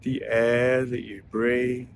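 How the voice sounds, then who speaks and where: Man, unhurried meditation guide, soothing and gentle, very slow delivery, light ambient noise behind the voice. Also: mid-range voice, flat delivery.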